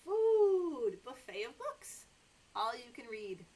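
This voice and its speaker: A woman's voice: on the high side, sing-song, slow, a little mellow. A middle-aged woman hosting story time for small children, reading aloud and chatting in an exaggerated sing-song way. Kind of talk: read-aloud story time for toddlers, talking to young children. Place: small indoor room with sofa and toys.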